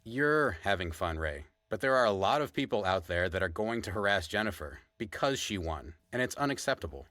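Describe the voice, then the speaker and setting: A man's voice: lowish voice, big pitch swings, brisk, few pauses, bright, forceful tone, no echo, clean studio sound. A man acting as a blunt, exasperated podcast co-host in a conversational audio drama. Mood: indignant. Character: Sonny, fed-up, indignant male podcast co-host.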